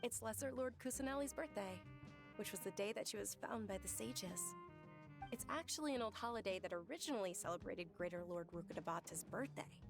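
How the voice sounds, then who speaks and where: A woman performs a gentle young woman from a video game, reflective and sincere as she tells her story. Her medium-pitched voice has noticeable creak, and music plays clearly underneath. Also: brisk, close to an everyday voice. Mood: earnest. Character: gentle, earnest young woman recalling a sickly childhood.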